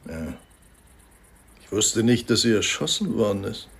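An actor playing a bitter, hard-drinking writer, sardonic, weary and dismissive, in a German radio crime drama. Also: male voice, on the low side, animated, unhurried, vocal fry, a bit bright, husky, full character voice. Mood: defensive.

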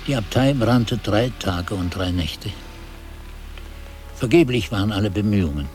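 A man's voice: lowish voice, darkish tone. An actor playing a weary, disillusioned old monk who solemnly recounts his past in a radio drama. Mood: grave. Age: older. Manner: solemn, deliberate.

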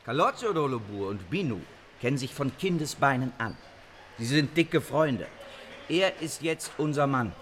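An actor plays an earnest male detective in a radio crime drama. He speaks in measured, serious phrases at a middle pitch, with faint noise behind. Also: animated, fairly bright tone, natural voice. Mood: serious, focused. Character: earnest police investigator on a mafia manhunt.

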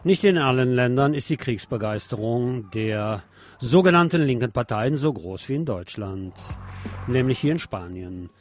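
A man's voice: medium pitch, lively intonation, moderate pace, soft background music. A man hosting a radio news programme, reading reports and adding opinionated, sardonic commentary of his own.

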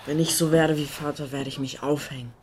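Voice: mid-range voice, little pitch movement, brisk, heavy background noise, natural voice. A young performer playing a troubled, questioning thirteen-year-old boy in a radio play, earnest and subdued. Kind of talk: German radio play acting.

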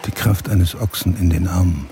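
Deep, gravelly, weary loner. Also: male voice, steady tone, moderate pace, mellow, faint music behind. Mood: weary, terse. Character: weary, withdrawn labouring man's terse inner thoughts. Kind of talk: clipped radio drama monologue.

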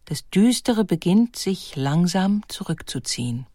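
A woman reading a documentary narration in a clear, composed way. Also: fairly low pitch, big pitch swings, a little slow, mellow, no echo, studio quality. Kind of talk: scripted narration.

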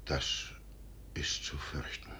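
An actor playing a noble-minded, anguished officer in a classical verse radio drama, solemn and imploring. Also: male voice, slow pace, bright, forceful tone, whispered. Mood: subdued, troubled.

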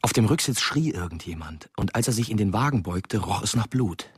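A man playing a dead teenage boy who narrates his own fatal crash in a radio drama, measured and grave. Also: lowish voice, expressive, husky, no echo, studio quality. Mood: grim. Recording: loud music under the voice. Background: loud music, vehicle sounds.

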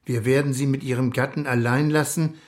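An actor playing a humble, dignified working-class uncle in a radio drama, speaking in a grave, earnest, deliberate way. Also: male voice, medium pitch, steady tone, close to an everyday voice. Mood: pleading.

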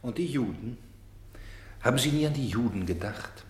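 A man's voice: medium pitch, expressive, moderate pace, roomy sound, natural voice. A man playing a persistent, accusing interrogator in a German radio play, like a voice of conscience, with probing, sardonic, pointed delivery.

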